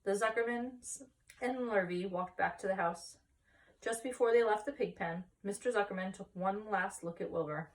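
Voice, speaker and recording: A woman reads scripted children's fiction aloud as a story-time narrator. Her pitch is average for a woman, and the voice sits somewhat distant from the microphone. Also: moderate pace. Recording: voice distant from the mic.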